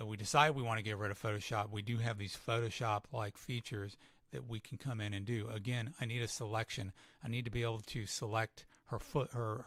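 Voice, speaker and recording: A man giving a casual live software tutorial, thinking aloud with fillers, his voice starting to go. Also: lowish voice, dry recording. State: losing his voice. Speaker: photographer demonstrating editing software.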